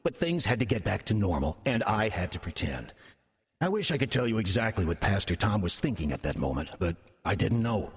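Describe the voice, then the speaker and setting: An actor playing a sly demon who passes as a folksy churchgoer, in a wry, calculated audio drama performance. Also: male voice, on the low side, very animated, a little fast, dry recording, clean studio sound, heavily acted. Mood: wry, confiding. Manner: smooth-talking, wry, calculated. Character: sly demon posing as a friendly small-town churchgoer. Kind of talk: audio drama acting and first-person narration.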